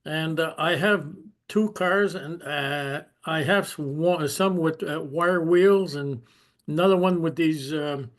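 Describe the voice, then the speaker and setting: A man with a fairly high voice chats casually on a conference call. He is easygoing and halting, piecing his thoughts together as he shares his experience. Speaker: club member sharing experience. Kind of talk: casual chat.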